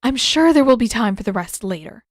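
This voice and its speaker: Woman playing a skeptical, high-pitched young daughter. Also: expressive, fairly quick, dry recording, clean studio sound. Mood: curious.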